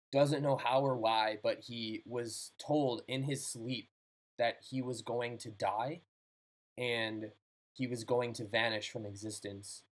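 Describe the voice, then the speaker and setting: A man in casual chat, telling short ghost stories in a loose, jokey way. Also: medium pitch. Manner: loose, jokey, swears freely. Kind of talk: casual podcast chat, storytelling.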